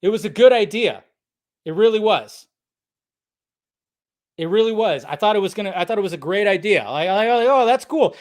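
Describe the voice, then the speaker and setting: A man with a distinctly high-pitched voice delivers off-the-cuff commentary as a show host. He sounds exasperated and blunt, hammers his points by repeating them, and swears freely. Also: expressive, normal speed, pauses often. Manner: emphatic repetition of phrases. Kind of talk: unscripted ranting commentary.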